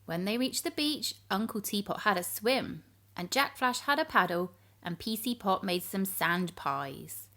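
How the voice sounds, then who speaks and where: Woman, children's story narrator, medium pitch, animated delivery, expressive, addresses young listeners. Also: unhurried.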